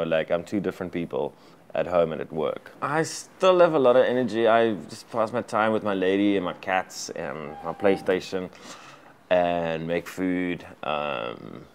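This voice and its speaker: A South African man with an Afrikaans accent, a native Afrikaans speaker, talking casually as an interview guest in an energetic, rambling way with many restarts. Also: low voice, a little slow, clear, resonant tone. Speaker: actor and director guest.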